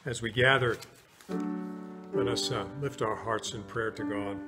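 An American man in his sixties, a pastor preaching and praying in a solemn, measured way with pauses between short phrases. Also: lowish voice, steady tone, loud music behind the voice. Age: 60s. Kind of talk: sermon, scripture reading and prayer. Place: inside a church, near the altar.

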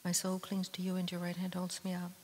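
A woman intoning psalms and prayers in a steady monotone, with soft whispery edges on her words. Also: on the low side, brisk. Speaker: liturgical reader. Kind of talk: solemn psalm reading. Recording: echoey church hall with hiss.

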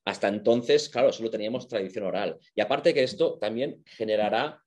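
A man's voice: on the low side, brisk, a little mellow. A man with an accent from Spain, giving an informal explanatory lecture in an enthusiastic, conversational manner.

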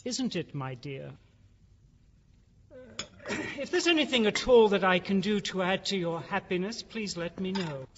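A man playing a jovial, effusive middle-aged country gentleman with a British accent in an audio drama. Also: high voice, expressive, measured pace, pauses often, exaggerated voice. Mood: hearty, welcoming. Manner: hearty, genial, effusive. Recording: dull, reverberant, faintly noisy recording.

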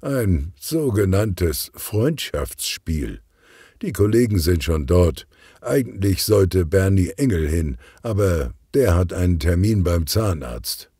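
Man as sardonic policeman with deep voice. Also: very animated, slow, warm tone, husky, dry recording, clean studio sound, exaggerated voice. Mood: mocking. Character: frustrated, mocking adult male policeman, family father.

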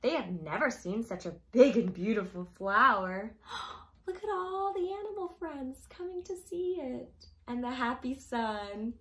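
A young woman hosts storytime for little kids, reading a picture book aloud in a high voice. Her delivery is slow and very expressive, with big swings in pitch as she speaks directly to her young listeners. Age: young adult. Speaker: storytime host reading to children. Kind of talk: animated children's picture book read-aloud. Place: small room at home, indoors.

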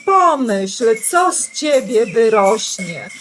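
A woman's voice: a little high, sing-song, slow pace, over music. A woman voicing a worried, reproachful mother cow, pleading plaintively in storybook rhyme. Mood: plaintive worry.

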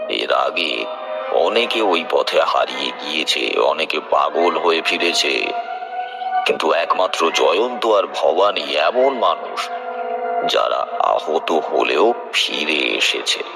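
A man playing a seasoned tantric telling a horror tale in an audio drama, grave and knowing, slow and deliberate with dramatic pauses. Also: extremely low pitch, sing-song, music in the background, full character voice. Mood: grave, ominous. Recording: clearly noisy background ambience.

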